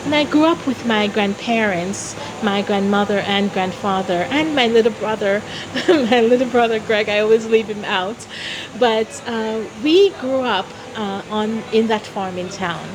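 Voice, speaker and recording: A woman from Jamaica telling personal stories as an interview guest, in a deliberate, expressive way. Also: mid-range voice, noisy background. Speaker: interview guest, founder of a philanthropy organization.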